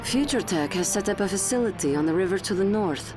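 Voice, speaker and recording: A woman playing a crisp military briefing officer. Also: medium pitch, lively intonation, fairly quick, music in the background, lightly acted. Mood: formal. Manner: crisp, formal, matter-of-fact. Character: calm female military intelligence officer. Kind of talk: game mission briefing.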